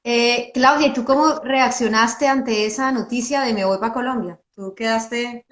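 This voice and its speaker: A woman's voice: fairly high pitch, a little slow, fairly bright tone. A woman hosting a casual interview, asking conversational follow-up questions. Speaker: host. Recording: echoey room.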